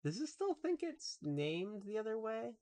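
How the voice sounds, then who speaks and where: Deep-voiced goth woman streaming. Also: very animated, a little slow, mellow. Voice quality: really deep voice. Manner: rambling, profane, chatting with viewers. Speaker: VTuber streamer. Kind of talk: casual live game stream commentary.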